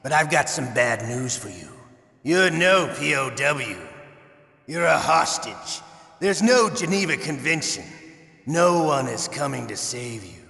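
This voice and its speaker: A sadistic older torturer from a video game, sharp and forceful with a gravelly rasp. He draws his words out in menacing, theatrical taunts. The voice rings in a big echoing hall. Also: fairly high pitch, animated, unhurried, heavily acted. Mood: sadistic glee. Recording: echoey hall with long reverb.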